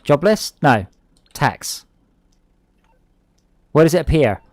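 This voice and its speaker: A man with a southern English accent chatting excitedly and jokily with friends while playing a game online. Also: a little high, slow pace, no echo, clean studio sound. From England. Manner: chatty, excitable, jokey, tangents. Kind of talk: casual gaming chat.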